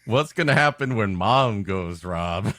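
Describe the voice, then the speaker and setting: A man's voice: lowish voice, lively intonation, measured pace, bright, forceful tone, no room sound, clean studio sound. A man co-hosting a comedy podcast, riffing in a sarcastic, mock-exasperated way. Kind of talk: joking riffing on a story being read.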